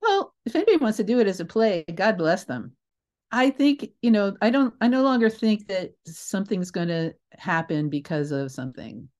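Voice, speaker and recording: A woman's voice: deep voice, a little mellow. A woman speaking as a guest in a conversational interview, reflective, wandering into asides and correcting herself as she goes. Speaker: guest, theatre writer and creator.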